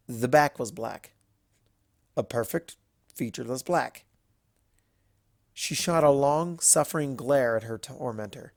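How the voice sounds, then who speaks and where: Lively, expressive story narrator. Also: mid-range voice, no room sound, clean studio sound.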